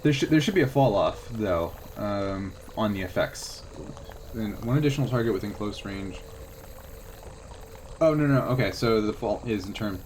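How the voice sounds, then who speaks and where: A man's voice: on the low side, lively intonation, moderate pace, a little mellow, a little hiss or hum, low-quality recording. A man acting as game master, talking casually through a tabletop role-playing game in a jokey, easygoing way.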